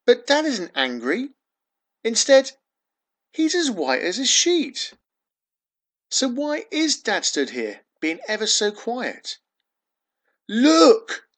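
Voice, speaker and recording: A man's voice: sits high, sharp tone. A man reading his own rhyming children's story aloud, expressively, with dramatic emphasis and sing-song refrains. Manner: dramatic emphasis on key words.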